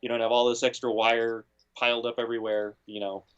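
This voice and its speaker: A man, a guest audio engineer, giving relaxed advice in an interview and filling his speech with "you know" and "like". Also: medium pitch, fairly quick, clean tone, dull audio. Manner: laid-back, lots of "you know" and "like". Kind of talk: casual interview talk.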